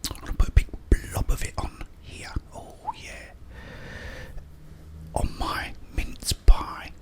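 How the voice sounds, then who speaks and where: Whispering man, ASMR ramble. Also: slow pace. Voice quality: breathy whisper. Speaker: ASMR video creator. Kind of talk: ASMR rambling while eating.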